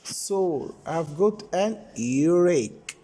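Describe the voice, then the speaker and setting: Lively male English teacher. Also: on the high side, takes it slowly, slight background noise. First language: Arabic. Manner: explains step by step, repeats page numbers. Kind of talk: classroom lesson explanation.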